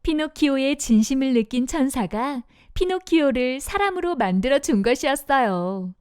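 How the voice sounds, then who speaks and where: A woman narrating a scripted fairy tale for young children in an expressive storytelling manner. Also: high voice, moderate pace, clear, resonant tone, no echo, clean studio sound.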